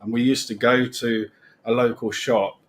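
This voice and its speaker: A middle-aged man with a British accent, chatting as a guest on a live stream and rambling with restarts that trail off quietly. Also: mid-range voice, flat delivery, normal speed. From England. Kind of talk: casual live-stream chat.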